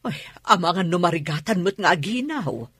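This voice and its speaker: A woman's voice: lowish voice, expressive, moderate pace, fairly bright tone, breathy edge, no room sound, clean studio sound. A woman playing an inquisitive, meddling confidante in an Ilocano comedy radio drama, exclaiming and questioning with an Ilocano accent. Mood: nosy concern.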